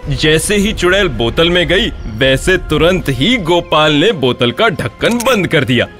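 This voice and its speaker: A man narrating a scripted animated horror tale as a storyteller. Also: fairly high pitch, unhurried, faint music behind.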